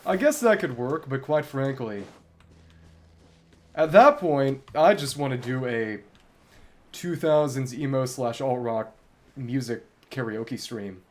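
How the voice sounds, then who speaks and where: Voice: medium pitch, expressive, takes it slowly, pauses often, a little mellow. A game streamer chatting casually over gameplay, thinking aloud in a wry way. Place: indoors at a computer.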